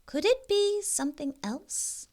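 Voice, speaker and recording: A woman reading a children's story aloud as a tutor, expressively and deliberately, with informal, encouraging asides to young listeners. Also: very high-pitched, animated, a little mellow. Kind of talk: modeled children's read-aloud with chatty asides.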